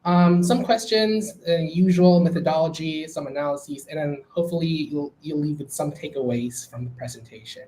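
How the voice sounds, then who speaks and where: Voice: medium pitch, normal speed, hardly any pauses, dark tone, a little hiss or hum, poor audio. Hesitant speaker. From Manila, Philippines. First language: Philippine Hybrid Hokkien. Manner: hesitant, many filler sounds. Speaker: academic presenter. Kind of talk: academic talk over slides.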